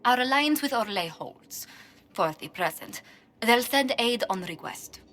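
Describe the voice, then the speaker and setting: A woman's voice: fairly high pitch, lively intonation, unhurried, fairly bright tone. An actress playing a poised, courteous woman diplomat in a video game. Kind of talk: video game dialogue acting.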